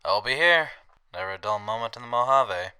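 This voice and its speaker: Roguish, soft-hearted wasteland drifter played by a man, mid-pitched, bright and forceful, with wry, teasing radio drama delivery. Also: expressive, a little fast, clear, resonant tone. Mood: wry, kindly. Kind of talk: audio drama acting.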